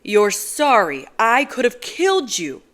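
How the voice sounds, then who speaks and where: A woman voicing a hot-tempered young man who shouts in exasperation. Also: mid-range voice, lively intonation, fairly quick, voice pushed up, close to an everyday voice. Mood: furious.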